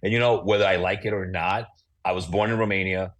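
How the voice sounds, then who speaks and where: A man's voice: deep voice, steady tone, brisk. A man, a guest in a casual interview, blunt and opinionated as he rambles. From United States. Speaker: real estate agent, guest.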